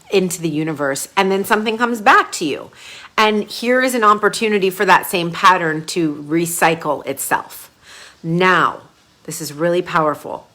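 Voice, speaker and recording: A woman brand coach leading a live group coaching session. She talks casually and chattily, with sudden gushing bursts of excitement. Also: lowish voice. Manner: casual, chatty, with frequent fillers.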